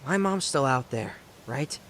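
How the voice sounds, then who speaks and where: A man's voice: mid-range voice, expressive, a little slow, fairly bright tone, a little hiss or hum. An actor playing an earnest young man searching for his mother in an English video game dub. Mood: anxious, hopeful.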